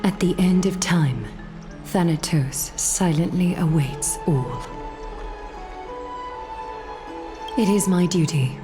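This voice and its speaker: An actress playing a solemn, composed woman leader in a video game, speaking in a measured, formal and grave manner. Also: lowish voice, music in the background, natural voice. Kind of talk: dramatic video game voice acting.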